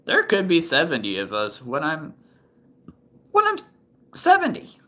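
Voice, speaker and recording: Guy in his 30s rambling slowly, lots of ums, bright clear tone. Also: fairly high pitch, wildly swooping pitch. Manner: rambling, thinks aloud with many ums and restarts. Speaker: vlogger. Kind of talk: casual chat to camera.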